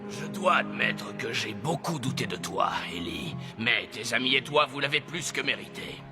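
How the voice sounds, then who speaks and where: Un comédien de doublage qui joue un athlète de dessin animé bourru, droit et loyal, au ton sobre. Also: male voice, medium pitch, lively intonation, moderate pace, natural voice. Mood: respectful. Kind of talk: animated series dub acting. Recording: loud music behind.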